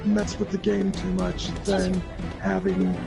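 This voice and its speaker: A man's voice: high-pitched, little pitch movement, unhurried, vocal fry. A man giving his opinions in a casual gaming discussion, explaining emphatically point by point. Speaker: gaming show panelist. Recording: music behind the voice.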